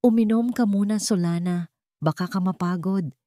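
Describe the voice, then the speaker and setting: A woman's voice: medium pitch, normal speed, no echo, studio quality, close to an everyday voice. A woman doing a sly, sweet-voiced old mother-in-law. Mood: falsely gentle. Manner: gentle, soft-spoken, feigning innocence. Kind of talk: storybook narration with acted dialogue.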